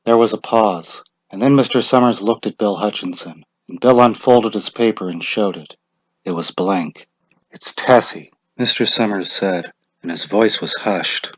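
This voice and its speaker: A man's voice: lowish voice, moderate pace, breathy edge, dry recording. A man narrating a short story as a scripted dramatic reading.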